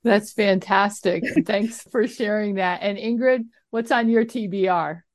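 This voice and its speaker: A woman's voice: medium pitch, unhurried, no echo, clean studio sound. A woman co-hosting a podcast, friendly and upbeat as she asks questions. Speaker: podcast co-host.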